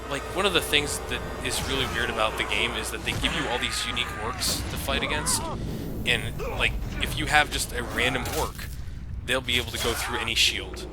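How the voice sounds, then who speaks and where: A man's voice: medium pitch, brisk. A man giving casual video game commentary in an informal, sweary way. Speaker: gameplay commentator. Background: game music and sound.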